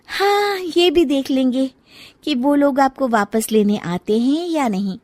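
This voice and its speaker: A woman's voice: sits high, expressive, measured pace, darkish tone, dry recording, voice pushed up. A woman voicing a caring but blunt young daughter-in-law in a dramatised moral story, earnest and coaxing. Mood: taunting.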